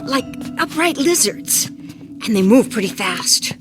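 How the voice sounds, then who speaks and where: Casting: gutsy older rural wife, higher-pitched voice, folksy and stubborn, radio thriller with prominent music. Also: female voice, very animated, slow pace. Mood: wry, steady. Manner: plain-spoken, folksy, wry. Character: feisty, stubborn old country wife, hurt but defiant. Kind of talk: audio drama acting.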